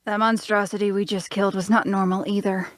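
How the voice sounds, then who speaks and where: A dry-recorded woman acting as a hard-nosed fantasy mercenary. The voice is medium in pitch, with a blunt, matter-of-fact delivery. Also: level tone, fairly quick, no echo, natural voice. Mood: analytical. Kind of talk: fantasy audio drama acting.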